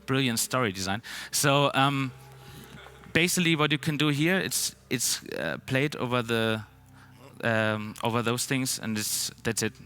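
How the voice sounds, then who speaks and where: A man's voice: mid-range voice, lively intonation, unhurried, pushed and bright, smooth. Chatty German-accented man on a stage. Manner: loose and chatty, many ums and restarts. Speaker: conference speaker. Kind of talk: conference talk. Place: large dark stage hall. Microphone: headset.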